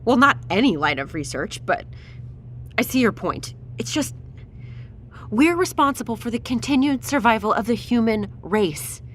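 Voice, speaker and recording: An actress playing a blunt, anxious doctor in a crisis audio drama, insistent and exasperated. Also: female voice, on the high side, lively intonation, normal speed, a little hiss or hum, close to an everyday voice. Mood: tense, arguing.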